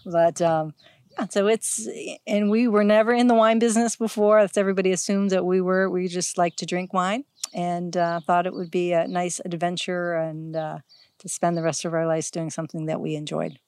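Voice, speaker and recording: A woman's voice: fairly low pitch, flat delivery, normal speed, darkish tone, smooth. A middle-aged woman from Ohio, a winery owner, chatting casually and laughing often, with restarts. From Ohio, USA. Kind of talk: casual chat.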